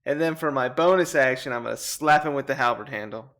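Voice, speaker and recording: A man with an average-pitched voice plays a tabletop roleplaying game. He talks casually as he lays out his moves and asks about the rules. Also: quick pace, a bit bright.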